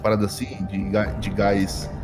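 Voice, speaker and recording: A Brazilian man improvising tabletop game narration as game master, halting and often repeating his phrases. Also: on the low side, completely flat delivery. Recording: long hall reverb, music behind.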